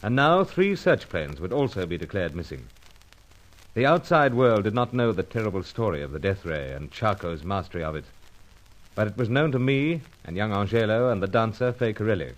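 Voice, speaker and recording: An actor playing an unflappable British secret agent in a radio adventure serial, with clipped, breezy phrasing and a British accent. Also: male voice, on the low side. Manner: clipped, breezy stiff-upper-lip phrasing. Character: dashing, unflappable British secret agent hero, pipe collector. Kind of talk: old-time radio adventure serial acting, first-person narration and dialogue. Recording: noisy old radio serial recording.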